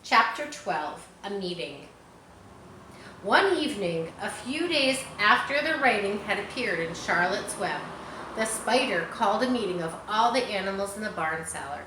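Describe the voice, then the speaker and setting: Woman storyteller, friendly read-aloud with asides, lively bright tone, echoey room. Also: medium pitch, normal speed, background noise. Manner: friendly, adds asides for children. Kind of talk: children's book read-aloud.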